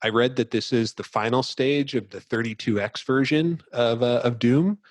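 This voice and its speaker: A man's voice: mid-range voice, moderate pace, no room sound. A man hosting a casual video game discussion, explaining things in a loose, rambling, hesitant way. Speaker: podcast host.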